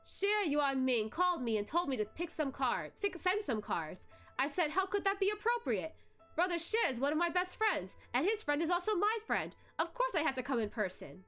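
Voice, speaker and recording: A woman's voice: sits high, very animated, moderate pace, bright, forceful tone, soft background music, pitched above the performer's own voice, exaggerated voice. A woman voicing a fat, cowardly middle-aged man in a story, effusive and fawning. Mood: eager fawning.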